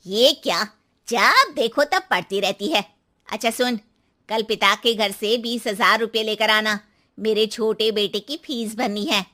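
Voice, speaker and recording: Sharp, high-voiced domineering mother-in-law, acted by a woman. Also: measured pace. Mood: demanding. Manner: bossy, taunting. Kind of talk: acted moral-story dialogue.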